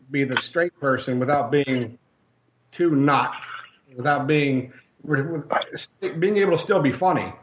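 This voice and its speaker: A man's voice: medium pitch, a bit bright, low-quality recording. A man who writes comedy and voices a character, answering interview questions in a rambling, self-deprecating way with frequent restarts. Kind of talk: casual interview chat.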